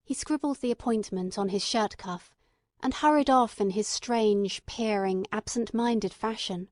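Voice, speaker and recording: A woman reads classic fiction as an audiobook narrator. Her delivery is measured and literary, at a middle pitch. The recording is close and dry. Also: no room sound.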